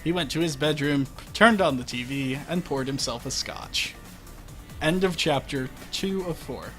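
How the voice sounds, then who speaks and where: Wry, high-voiced man reading aloud. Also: expressive, unhurried, a bit bright, rough recording. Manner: wry, breaks off to comment on the text. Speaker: story reader. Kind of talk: comic fan fiction reading on a livestream. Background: faint music.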